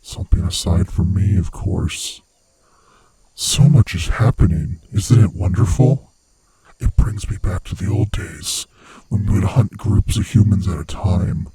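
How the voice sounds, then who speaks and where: A man voicing a sinister, gloating monster who hunts human prey, with a husky, rasping voice and a slow, taunting manner, in a horror ASMR roleplay. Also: dark tone, no echo, heavily acted. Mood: gleeful, taunting. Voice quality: husky, breathy, rasping low voice. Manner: slow, menacing, theatrically taunting.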